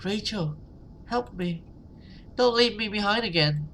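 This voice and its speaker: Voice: mid-range voice, animated, unhurried, a bit bright, a little hiss or hum, pitched above the performer's own voice. A video game player voicing a frightened little girl who pleads eerily for help. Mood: pleading, scared.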